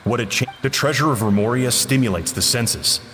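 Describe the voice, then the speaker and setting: A man's voice: medium pitch, fairly quick, a bit bright, big-room sound, a little hiss or hum, close to an everyday voice. An actor playing a regretful man confessing his past, sombre and sighing.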